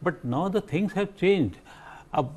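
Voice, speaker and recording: A man with an Indian accent giving his views as a panel guest, halting and searching for words. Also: high-pitched, animated, darkish tone. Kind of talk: panel discussion commentary.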